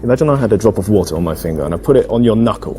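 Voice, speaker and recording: A man's voice: on the low side, expressive, racing, warm tone, soft background music, lightly acted. An actor playing an eager, earnest man chatting up a woman in casual date talk, hesitant and repeating his words. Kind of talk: acted casual date chat.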